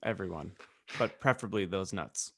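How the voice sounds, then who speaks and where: A man co-hosting a podcast in casual joking chat, with a deadpan way of talking. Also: sits low, normal speed, creaky. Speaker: podcast co-host.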